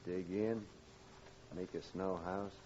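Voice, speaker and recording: A man's voice: sits low, steady tone, slow, dark tone. An actor playing a gloomy, terse man stranded on an expedition in an old 1940s radio drama. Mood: grim, resigned. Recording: muffled old radio recording, faint noise.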